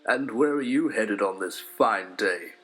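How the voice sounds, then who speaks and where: A man's voice: on the low side, animated, measured pace, a bit bright, soft background music. A man voicing a clever, sly wolf who feigns politeness in a storybook tale. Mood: feigning curiosity. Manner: smooth-talking, feigned curiosity.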